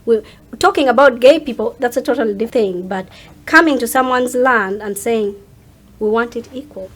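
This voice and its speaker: A woman with a fairly high voice talks casually as a guest in an interview. She is earnest and illustrates her points by acting out imagined remarks. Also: normal speed. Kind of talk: casual conversation.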